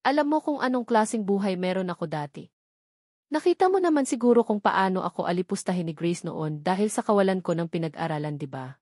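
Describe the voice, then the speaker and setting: A woman's voice: mid-range voice, lively intonation, brisk, darkish tone, no room sound, clean studio sound, close to an everyday voice. A woman voicing an anxious, apologetic young woman in love, with a Filipino accent, in a romance audiobook. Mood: anguished.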